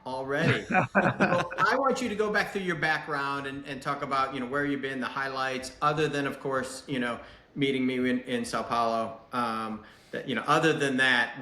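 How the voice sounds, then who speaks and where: A man's voice: medium pitch, pushed and bright. A middle-aged American man from Chicago chatting casually as a podcast host, with a joking manner. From Chicago, United States. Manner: joking, self-deprecating. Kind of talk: casual chat. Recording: echoey room.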